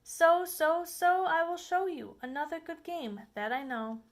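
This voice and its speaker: A woman's voice: very high-pitched, animated, a little slow, pitched above the performer's own voice, full character voice. A woman voicing a playful, boastful showman cat in a children's storybook, in a showy, coaxing, exclamatory way. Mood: defiant, eager. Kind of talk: storybook read-aloud.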